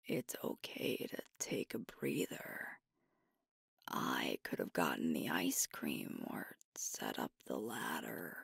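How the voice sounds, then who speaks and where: A sweet, devoted alpha werewolf girlfriend, played by a woman in a romantic ASMR roleplay. She speaks in a very low, crackly whisper, earnest and soothing, with slow phrasing. The sound is breathy, close and intimate. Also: huge pitch swings, no echo, full character voice. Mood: gentle concern. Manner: tender, earnest, soothing, unhurried. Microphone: close microphone, dry.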